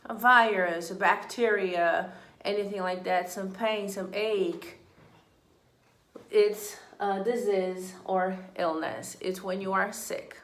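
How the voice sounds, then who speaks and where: Woman, english teacher, slow deliberate delivery, middle pitch, echoey room. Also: a bit bright. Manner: patient, repeats example sentences slowly. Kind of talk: slow, deliberate classroom explanation.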